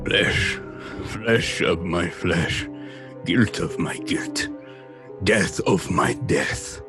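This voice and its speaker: Deep, gravelly, slow vampire lord. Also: male voice, exaggerated voice. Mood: commanding, incantatory. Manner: slow, incantatory, dramatic. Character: ancient male vampire lord, commanding, seductive, lonely. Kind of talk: horror radio drama acting. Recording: voice under loud music and storm effects.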